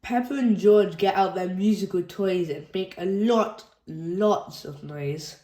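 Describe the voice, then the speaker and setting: A woman reading a children's picture book aloud in a slow, expressive storytelling manner. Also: fairly low pitch. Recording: echoey room.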